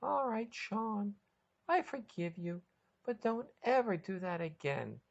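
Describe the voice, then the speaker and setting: A woman's voice: medium pitch, very animated, slow, gravelly, lo-fi sound, voice pushed up, exaggerated voice. A woman voicing an exasperated but forgiving girlfriend in a storybook reading, firm and resigned.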